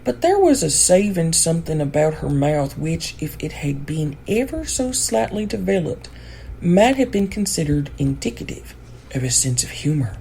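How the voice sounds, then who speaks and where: A woman's voice: low voice, big pitch swings, moderate pace, vocal fry, warm tone, a little hiss or hum, rough recording. A woman reading a classic storybook aloud as scripted audiobook narration. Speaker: audiobook reader.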